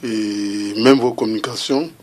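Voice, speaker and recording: A man's voice: on the low side, steady tone, unhurried, a little mellow. A man interviewing on camera, asking pressing questions in a hesitant way full of fillers.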